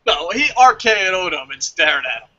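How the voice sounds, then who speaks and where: A man's voice: high-pitched, very animated, normal speed, pushed and bright, dull audio. A man in casual, profane banter as co-host of a review show, ranting in exasperation and swearing often.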